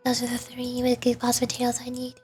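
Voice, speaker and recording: A woman with a high voice that has a husky, breathy edge streams a video game. She talks casually, thinking aloud as she goes. Faint music plays behind her. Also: completely flat delivery, quick pace, a little mellow. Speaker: streamer, VTuber. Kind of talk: video game livestream commentary, reading dialogue aloud.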